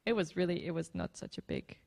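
Woman panelist, lowish voice, candid answer. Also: huge pitch swings, vocal fry, darkish tone. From Netherlands. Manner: candid, halting. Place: lecture hall.

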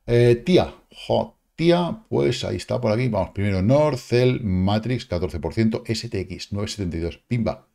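A man, casually commenting on crypto charts in a lively, slangy way, with exclamations and sound effects, recorded at a home desk. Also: fairly low pitch, normal speed, gravelly, hardly any pauses. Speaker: crypto market analyst. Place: home studio, indoors. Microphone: webcam framing, seated at desk.

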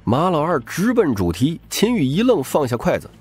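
A man's voice: fairly high pitch, wildly swooping pitch, moderate pace, darkish tone, slightly rough, soft background music. A man reading scripted, dramatic audiobook narration.

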